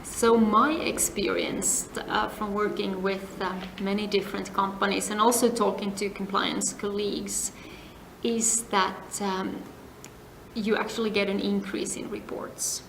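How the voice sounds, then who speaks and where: A middle-aged woman from Finland answering interview questions, speaking in a measured way with many pauses and phrase ends that fade to a near whisper. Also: mid-range voice, little pitch movement, creaky, a bit bright. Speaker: interviewee, compliance advisor. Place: seminar venue. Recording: echoey room, faint noise.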